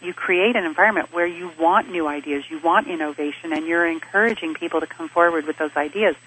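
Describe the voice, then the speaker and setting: A woman who grew up in Switzerland, answering interview questions in a measured, explanatory way and stringing her points into lists. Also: on the low side, a bit bright, breathy edge, rough recording. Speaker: interview guest, business advisor. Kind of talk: thoughtful conversational interview answers.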